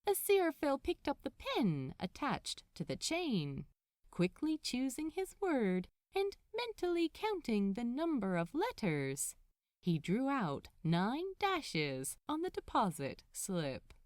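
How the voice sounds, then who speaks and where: Expressive, unhurried woman narrating fiction. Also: on the high side, no echo, clean studio sound. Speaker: podfic narrator.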